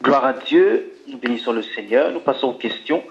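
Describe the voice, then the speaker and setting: A man's voice: mid-range voice, lively intonation, normal speed, creaky, muffled recording. Man formally opening question time. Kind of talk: formal announcing.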